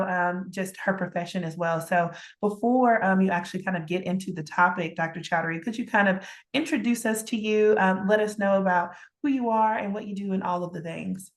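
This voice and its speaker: Brief: woman host, somewhat low voice, friendly and a bit hesitant, video call. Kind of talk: introducing a guest.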